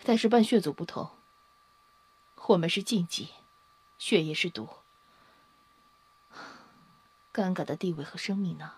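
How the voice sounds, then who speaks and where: Woman acting a mellow, solemn half-blood beauty. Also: fairly low pitch, husky, no room sound. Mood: somber, resigned. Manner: solemn, measured lecturing. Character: dark-haired half-blood alien beauty, solemn, wistful expounder. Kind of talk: Chinese audio drama acting. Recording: music under the voice. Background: background music.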